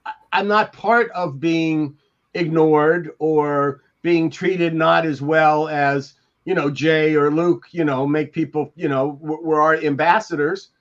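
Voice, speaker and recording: An older man in a casual podcast discussion, speaking haltingly and repeating words. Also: on the high side, fairly even intonation. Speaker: podcast panelist. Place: room at home, indoors. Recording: slightly dull video-call audio.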